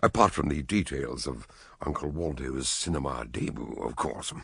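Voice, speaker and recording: An actor playing a shrewd, wry amateur detective doctor, deliberate and measured, in a radio drama. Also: male voice, extremely low pitch, creaky, fairly bright tone, slightly rough, exaggerated voice. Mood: measured recounting. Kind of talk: radio drama acting and narration.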